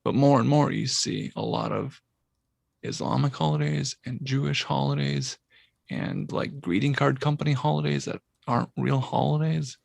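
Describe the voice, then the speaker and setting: A man's voice: medium pitch, steady tone, moderate pace, vocal fry, a little mellow, dry recording. A man co-hosting a podcast, musing at length about synchronicities in casual talk. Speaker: podcast co-host.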